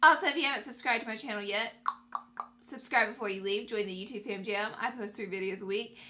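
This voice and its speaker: Young woman beauty vlogger, congested and nasal, upbeat chatty tutorial delivery, crisp bright tone. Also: on the high side, quick pace, slight background noise. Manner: upbeat, addresses viewers as guys. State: stuffy nose from allergies.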